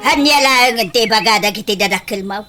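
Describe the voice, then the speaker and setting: A woman's voice: on the high side, a little fast, loud music behind the voice, lightly acted. A woman playing a nosy older village auntie in an Ilocano comedy radio drama. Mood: scolding, shocked.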